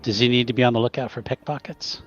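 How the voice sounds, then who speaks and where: A man's voice: mid-range voice, steady tone, fast, muffled recording, soft background music. A man playing a tabletop roleplaying game, casually talking through his character's actions. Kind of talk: casual improvised game chat.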